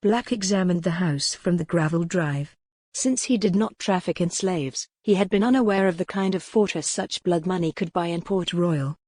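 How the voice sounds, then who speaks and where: A woman narrates a historical novel in a fairly low voice. Her delivery is measured and dramatic, recorded close and dry. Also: darkish tone, dry recording. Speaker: audiobook narrator. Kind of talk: scripted dramatic fiction narration.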